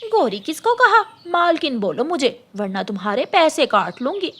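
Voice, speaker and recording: Casting: haughty, greedy female cartoon crow boss played by a woman, high voice, sharp-tempered scolding, faint background noise. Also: very animated, normal speed. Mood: haughty, demanding. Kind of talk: Hindi moral cartoon story dialogue.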